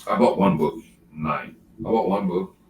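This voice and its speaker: A man's voice: mid-range voice, animated, normal speed, creaky, a little mellow. A man co-hosting a podcast, chatting casually in a rambling, profane, laid-back way. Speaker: podcast co-host. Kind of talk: casual chat.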